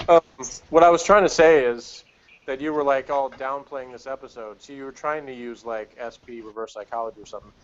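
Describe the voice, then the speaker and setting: A man's voice: mid-range voice, fairly even intonation, fairly quick, a little hiss or hum. A man co-hosting a review show in casual, blunt, teasing chat over a lo-fi online call. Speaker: co-host of a TV episode review show. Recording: dull, lo-fi online call audio.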